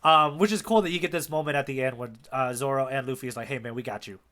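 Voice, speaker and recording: A man's voice: medium pitch, quick pace, bright, forceful tone. A man co-hosting a podcast, talking casually and enthusiastically in a loose fan discussion. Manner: enthusiastic, appreciative. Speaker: podcast co-host. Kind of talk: casual chat about a manga chapter.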